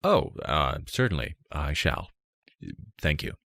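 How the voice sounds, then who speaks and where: A man's voice: very deep voice, sing-song, slow, gravelly, fairly bright tone, dry recording, studio quality, full character voice. A man playing a modest young warrior in a fantasy storybook, courteous and a little hesitant. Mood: flustered. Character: polite, modest young male warrior guest.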